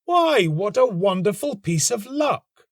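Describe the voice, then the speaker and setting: A man voicing a lovestruck, excitable young student in a storybook, exclamatory and earnest. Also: high-pitched, slow, no echo, studio quality, voice pushed up, heavily acted. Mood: delighted.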